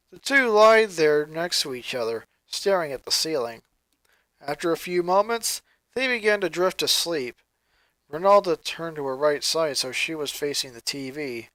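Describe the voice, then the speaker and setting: High-pitched bright male story narrator. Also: dry recording, poor audio. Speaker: fan fiction reader.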